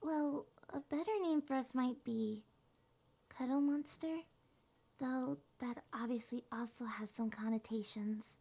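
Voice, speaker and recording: Woman playing a little monster girl, shy and sweet-tempered, slow unhurried delivery, mellow soft-spoken tone, hesitant, reassuring, ASMR roleplay. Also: on the high side, steady tone, gravelly. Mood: gentle, explaining. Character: small, shy, gentle cuddle-loving monster girl.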